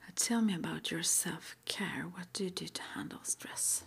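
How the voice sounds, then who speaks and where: A woman whispering softly and breathily as an interviewer in an ASMR roleplay, murmuring little acknowledgements between her questions. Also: on the low side, moderate pace, vocal fry, husky. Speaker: interviewer at a witch school.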